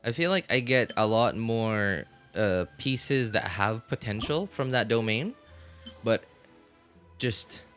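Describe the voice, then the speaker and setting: Man, low voice, game streamer, casual commentary, halting and repetitive, music behind. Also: lively intonation, fairly bright tone. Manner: halting, repetitive, thinking aloud.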